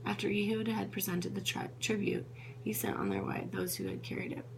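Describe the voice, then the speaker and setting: Gravelly-voiced woman reading scripture. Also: mid-range voice, brisk. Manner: stumbles over names and corrects herself. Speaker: Bible reader. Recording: noisy with a steady hum.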